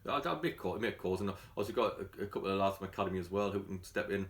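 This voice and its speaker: A man's voice: low voice, fast talker. A man with a London accent, a football manager chatting colloquially in a casual interview. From London, England. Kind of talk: casual press catch-up interview.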